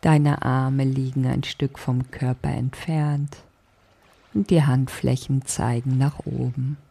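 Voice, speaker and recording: Woman doing yoga nidra sleep meditation, soft calming voice, dark tone with lots of fry. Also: a bass voice, dry recording. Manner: soft, calming, deliberate instruction.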